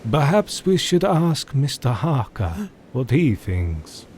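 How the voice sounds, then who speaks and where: A solemn older doctor who mentors others in a gothic audio drama. He speaks slowly and formally, with theatrical rises and falls in pitch. A faint rumble sits under the voice. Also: sing-song, a little mellow. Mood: grave, urgent. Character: grave older male doctor and mentor, solemn vampire hunter.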